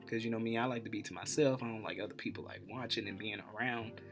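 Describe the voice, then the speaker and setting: A man telling a personal story casually as a vlog host, in an informal, animated way with self-deprecating humor. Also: lowish voice, fast, over music. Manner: animated retelling, acts out dialogue. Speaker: vlogger, channel host.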